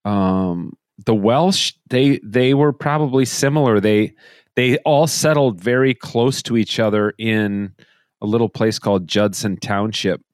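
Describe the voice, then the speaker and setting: A man hosting a podcast interview who asks questions in a curious, eager way and reacts quickly. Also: on the low side, no echo, clean studio sound. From family from southwestern Minnesota. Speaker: podcast host. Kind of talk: conversational interviewing.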